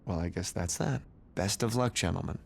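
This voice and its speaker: An actor playing a smooth, condescending corporate executive in a video game, speaking in a polished, formal, businesslike way. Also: male voice, sits low, moderate pace, darkish tone, a little hiss or hum. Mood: smug composure. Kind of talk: video game cutscene acting.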